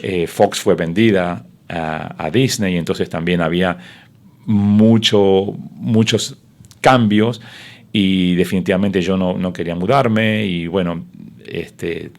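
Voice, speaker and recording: A man's voice: deep voice, steady tone, slow pace, gravelly. A middle-aged man, a sports broadcaster whose first language is Spanish, telling his story in an interview with many fillers and restarts.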